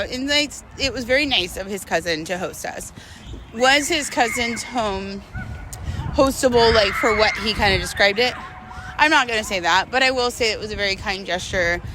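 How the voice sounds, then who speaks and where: Bright-voiced young woman chatting over background noise. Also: medium pitch, poor audio. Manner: informal and slangy, with frequent hedging asides. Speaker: vlogger telling a personal story. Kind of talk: casual chatty storytelling.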